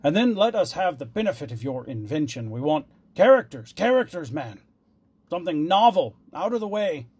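A man voicing a cruel, boisterous tyrant king for a storybook, loud, blustering and imperious. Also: on the high side, big pitch swings, normal speed, husky, pitched above the performer's own voice. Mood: jovial, domineering.

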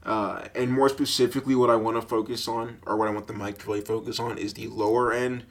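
Deep-voiced young guy rambling on his podcast. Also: fast. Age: young adult. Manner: rambling, animated storytelling with lots of fillers and laughs. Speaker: podcast host. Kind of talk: casual solo podcast chat. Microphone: budget podcast mic with sock pop filter.

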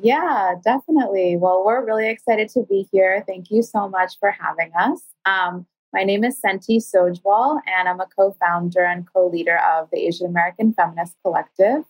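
A woman's voice: medium pitch, moderate pace, hardly any pauses, poor audio. A woman guest, an activist group co-founder from India who was raised in New York, introducing herself in an eager, friendly way. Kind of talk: casual interview chat.

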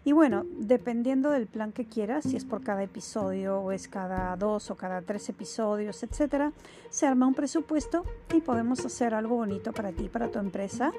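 A woman's voice: on the high side, a little fast, mellow, faint music behind. A woman hosting her own podcast, talking casually with many fillers and restarts as she thanks listeners and makes announcements. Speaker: podcast host.

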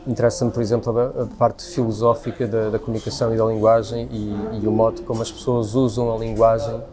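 A man's voice: lowish voice, little pitch movement, a little fast, a crowd in the background. A man with a European Portuguese accent talking spontaneously and giving his opinions in an emphatic, repetitive way. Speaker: speaker sharing opinions in a conversation.

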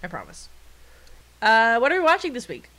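A woman's voice: on the high side, wildly swooping pitch, brisk, pushed and bright. A congested woman co-hosting a podcast, chatting and telling rambling, jokey stories. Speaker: podcast co-host. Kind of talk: casual chat.

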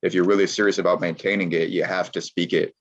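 Deep-voiced American man, muffled audio. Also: little pitch movement, quick pace. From United States. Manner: emphatic, repeats his points. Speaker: guest. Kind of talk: casual interview chat.

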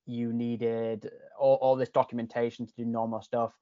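A man's voice: medium pitch, flat delivery, normal speed, dry recording. A man speaks with a Yorkshire accent that has picked up a Canadian tinge along the way. He talks in a loose, chatty way, restarting his sentences and swearing now and then. The recording is dry and a little muffled. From England. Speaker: podcast guest. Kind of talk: casual podcast conversation.

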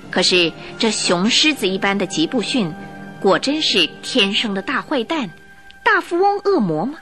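A woman narrates a radio drama with dramatic, scripted delivery. Her mid-pitched voice is bright and animated, with faint music behind it.

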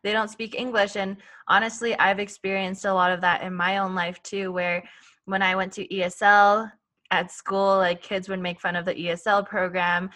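A woman from Canada co-hosting a podcast, with a bright, forward tone. She speaks earnestly and thoughtfully in relaxed conversation, often saying "like" as she goes. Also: medium pitch, normal speed. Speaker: podcast co-host. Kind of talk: casual conversation.

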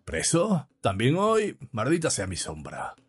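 A man acts out a tipsy working man from a comic short story, with a broad Andalusian accent. His voice jumps high and loud, a little husky, in a stubborn, teasing drawl meant to needle everyone around him. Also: huge pitch swings, measured pace, full character voice. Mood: indignant.

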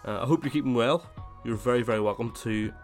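A man with a cold hosting his gaming podcast, chatting casually and then reading a scripted essay in steady narration. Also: medium pitch, quick pace, soft background music. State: has a cold, voice slightly worn. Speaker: podcast host.

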